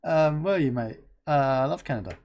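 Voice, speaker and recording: Lively, playful livestream host. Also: mid-range voice, fairly quick, dull audio. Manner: bubbly, reads chat aloud, playful, expressive swings. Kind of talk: live-stream interview and chat reading.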